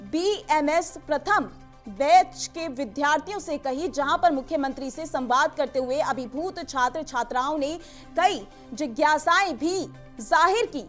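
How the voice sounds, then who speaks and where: A woman presents a news bulletin in a high voice. Her delivery is formal and measured, reading from a script, with faint music playing behind her. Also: a bit bright.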